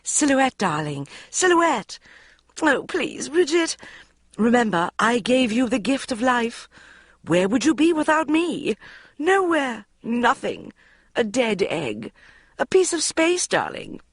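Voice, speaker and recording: Woman putting on a gushing high-voiced mother. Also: sing-song, slow, slightly rough, no room sound, clean studio sound, full character voice. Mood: pleading. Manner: gushing, cooing, wheedling. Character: gushing pushy middle-aged mother, theatrical.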